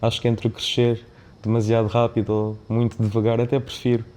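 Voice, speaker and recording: A man's voice: mid-range voice, monotone, a little fast, dark tone. A man with a European Portuguese accent speaking reflectively and discursively as an interview guest, often checking in with a tag question. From born in Mozambique, of Indian family background. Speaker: podcast guest, business founder and CEO.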